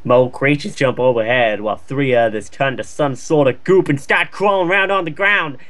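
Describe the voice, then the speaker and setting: Guy reading goofy fanfic out loud, lots of slang and cussing, middling pitch, jokes as he goes. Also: normal speed. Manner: slangy, sweary, jokey. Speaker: fan fiction reader. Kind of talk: comedic read-aloud with casual commentary.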